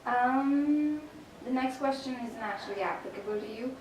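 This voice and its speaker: A woman's voice: high voice, normal speed, a little mellow, soft background music. A young woman acting as a student interviewer, asking questions in a casual, conversational way. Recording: very echoey, distant microphone, faint noise.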